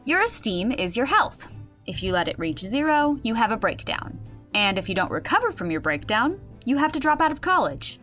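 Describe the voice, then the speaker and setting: A woman's voice: on the high side, very animated, moderate pace, a bit bright, faint music behind. A woman playing a wry game master who explains and narrates a comedic tabletop game in an audio drama. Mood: narrating.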